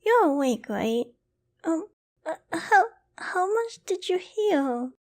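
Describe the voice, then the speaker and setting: A woman's voice: very high-pitched, very animated, takes it slowly, full character voice. A woman playing a shy, loving, easily flustered young girlfriend in an intimate ASMR bedtime roleplay, speaking tenderly and hesitantly. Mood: flustered, embarrassed. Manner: tender, hesitant, soft-spoken with stammers.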